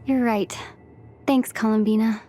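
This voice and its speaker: A woman voicing a thoughtful young female traveler in a video game, measured, sincere and reflective in her delivery. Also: on the high side, fairly even intonation, mellow, lightly acted. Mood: quietly sincere. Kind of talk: video game cutscene acting. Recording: music under the voice. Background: music.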